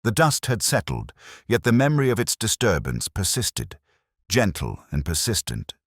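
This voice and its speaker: A man reading a scripted first-person detective story in a measured, formal, literary manner. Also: fairly low pitch, huge pitch swings, no room sound, studio quality. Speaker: audiobook narrator.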